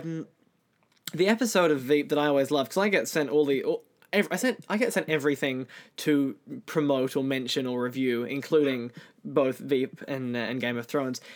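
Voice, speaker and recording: A man hosting a podcast interview in casual conversation, with some stumbling and repeated words. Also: medium pitch.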